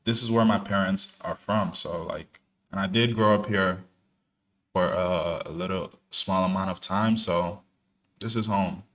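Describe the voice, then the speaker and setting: Young man, lowish voice, casual slangy chat, filler-heavy, live trading stream. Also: fairly even intonation, unhurried, a bit bright. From born in New Jersey, parents from Ghana. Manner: laid-back, slangy, lots of fillers and restarts. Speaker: day trader streaming live.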